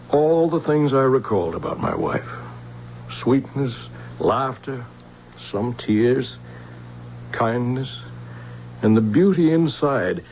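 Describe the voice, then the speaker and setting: An actor in a radio drama playing a wistful, reflective man who mourns his wife and speaks deliberately. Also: medium pitch, gravelly. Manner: slow, deliberate, reflective delivery. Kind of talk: old-time radio drama acting. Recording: dull vintage radio recording, faint hiss and hum.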